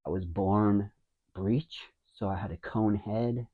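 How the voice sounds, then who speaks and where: A man in his fifties with a low, quiet voice, who mumbles and rambles with many restarts as he talks to camera. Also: slow pace, slightly rough, narrow, tinny audio. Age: 50s. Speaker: vlogger. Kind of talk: casual talking to camera. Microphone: wireless mic into a phone.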